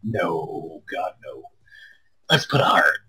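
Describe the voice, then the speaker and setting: Low husky guy streaming a story game, really forceful sharp tone, strained throat, reads it out and jokes around. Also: slow pace. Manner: crude, joking, stumbles over lines. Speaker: streamer reading a visual novel aloud. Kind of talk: expressive read-aloud with casual banter.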